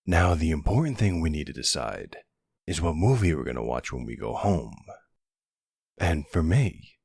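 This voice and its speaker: Guy doing a flirty mafia boss bf, asmr roleplay, low husky voice, teasing n cocky. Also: big pitch swings, normal speed, darkish tone, dry recording, clean studio sound, exaggerated voice. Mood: relaxed, fond.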